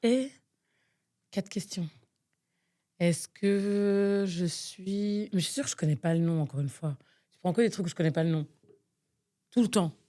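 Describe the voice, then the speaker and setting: A young woman from Nigeria with a slightly low voice, co-hosting a casual podcast. She thinks out loud, full of fillers and hesitations, and sounds fed up with the game she's playing. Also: measured pace, long pauses. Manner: exasperated, thinks aloud with many fillers. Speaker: podcast co-host. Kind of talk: casual chat, guessing game.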